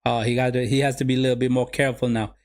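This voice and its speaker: A man's voice: medium pitch, level tone, racing, a little mellow. A man whose first language is Somali, running a live football watch-along and calling the game for his viewers, often repeating his phrases. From Sweden.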